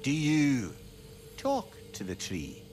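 Animated, inquisitive companion. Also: male voice, mid-range voice, slow pace, slight background noise. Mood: curious. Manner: curious, genial, conversational. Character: inquisitive, witty male severed-head companion. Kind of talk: video game character acting.

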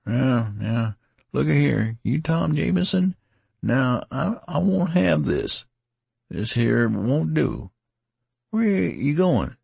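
A man's voice: mid-range voice, sing-song, takes it slowly, gravelly, a little mellow, breathy edge, no room sound, voice pushed up, heavily acted. A man voicing a wounded, ragged soldier who rambles on in a folksy country dialect. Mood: slurred, pleading. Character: wounded ragged male soldier, garrulous and rustic.

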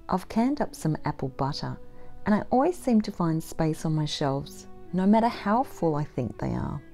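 A middle-aged woman giving a calm, measured voice-over about her homestead, with soft background music. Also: deep voice. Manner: gentle, measured and matter-of-fact. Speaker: homesteading vlogger. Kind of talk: calm scripted voice-over narration. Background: faint instrumental music.

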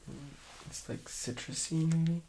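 A soft-spoken man giving quiet, hesitant short answers as the patient in an ASMR role-play. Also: fairly high pitch, fairly even intonation, a little slow, a little hiss or hum. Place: small room indoors.

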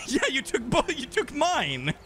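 Young man, lively teasing banter. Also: very high voice, unhurried, a little hiss or hum. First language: Swedish. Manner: playful, teasing, jokey banter. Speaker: co-op gaming YouTuber. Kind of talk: casual co-op gameplay chat.

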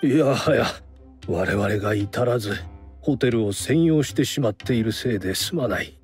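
A calm, courteous older gentleman from an anime game, voiced by a man. He speaks at a medium pitch in measured, polite phrases. There is clear background music under the voice. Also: close to an everyday voice. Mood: apologetic, courteous.

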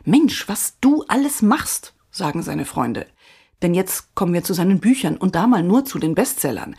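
A woman podcast host giving scripted, dramatic obituary narration with wry, theatrical phrasing and emphatic pauses. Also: fairly low pitch, darkish tone, slightly rough.

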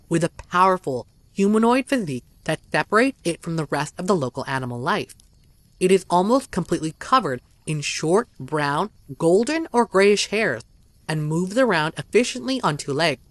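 A narrator reading a scripted documentary story in a measured, even delivery. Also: medium pitch, dry recording.

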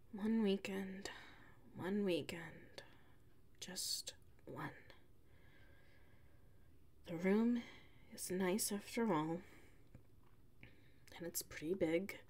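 A woman voicing a prickly, haughty young tsundere classmate with a hidden soft side, in an ASMR audio roleplay. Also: medium pitch, husky. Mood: quiet, resigned. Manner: haughty, huffy, sighing, drawn-out phrasing.

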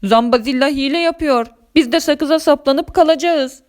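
A friendly green storybook monster played by a woman reader with a fairly high voice. This loyal race driver sounds spirited, savvy and matter-of-fact. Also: moderate pace, close to an everyday voice. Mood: urgent, explaining.

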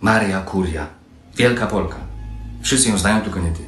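A young man playing an evasive, talkative husband who works as a train conductor, making smooth excuses, recorded on a phone at home. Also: low voice, moderate pace, a bit bright, faint music behind. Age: young adult. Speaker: sleeping-car train conductor. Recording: roomy home room, faint hiss.

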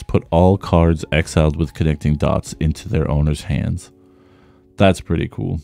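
Very deep-voiced man, slightly rough. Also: mellow. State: slightly rough voice from talking a lot. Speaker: trading card content creator. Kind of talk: casual card pack opening commentary.

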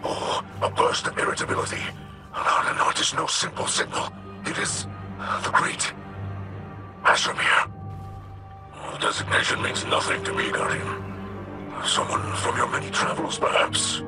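A man playing a gentle, scholarly alien ally in a video game, speaking in a hushed, breathy, measured and formal way. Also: over loud music. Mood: hesitant surprise. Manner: measured, formal, hesitant, wonder-filled. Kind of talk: scripted video game dialogue.

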